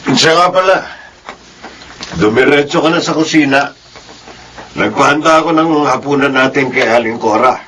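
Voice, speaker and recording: Radio drama father, played by a man: middle-aged, mid-pitched, measured, firm, faint noise behind. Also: a bit bright, lightly acted, rough recording. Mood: considerate. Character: firm, protective father defending his returned wife. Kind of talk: Filipino radio drama acting.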